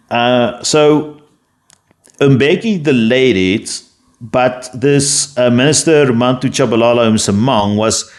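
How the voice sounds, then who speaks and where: A South African man whose first language is Afrikaans, reading a prepared script and then rambling loosely in a casual rant full of fillers and restarts. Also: medium pitch. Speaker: political commentator.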